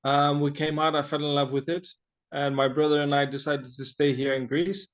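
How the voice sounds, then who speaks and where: A man from Zimbabwe recounts his own story as an interview guest, pausing often and restarting his sentences. His voice sits a little high. The recording sounds dull and lo-fi. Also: level tone. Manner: halting, frequent fillers and restarts.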